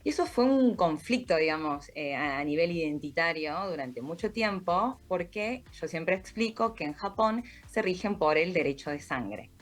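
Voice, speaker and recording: A woman from Argentina with a Rioplatense Spanish accent, speaking in a slightly low voice. She explains things thoughtfully and often checks in with a "¿no?". She sounds like she is on a video call from home. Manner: reflective, explanatory, frequent "¿no?" tags. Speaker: podcast creator, guest.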